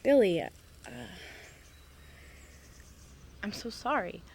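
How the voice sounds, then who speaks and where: A woman playing a gentle, earnest, nerdy young larper in an audio drama. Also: mid-range voice, animated, brisk, gravelly, husky. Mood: concerned. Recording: music under the voice.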